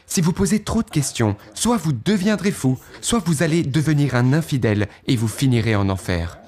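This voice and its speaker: A man giving an earnest first-person testimony, storytelling with dramatic pauses as he recounts what he thought and felt. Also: mid-range voice, expressive, a little mellow.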